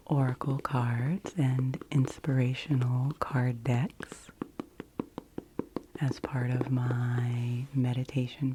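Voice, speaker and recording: Female ASMR host, breathy whisper, very low and slow, gentle casual explaining. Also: noisy background.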